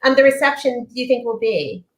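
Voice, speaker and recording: A woman's voice: on the high side, expressive, fairly quick, a bit bright, reverberant. A woman hosting a live panel discussion, asking questions casually with frequent "um"s. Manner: casual, with filler "um"s. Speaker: host.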